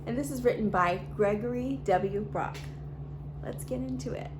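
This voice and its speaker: A woman hosting a children's storytime, reading a picture book aloud with expressive delivery in her home library. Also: fairly high pitch, normal speed. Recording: steady background hum.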